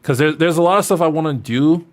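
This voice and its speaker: A man's voice: on the high side, fast, a little mellow. A man in his early thirties chatting casually as a livestreamer answering questions, in a rambling, jokey, self-deprecating way. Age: early 30s. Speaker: streamer answering viewer questions. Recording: noticeable background noise.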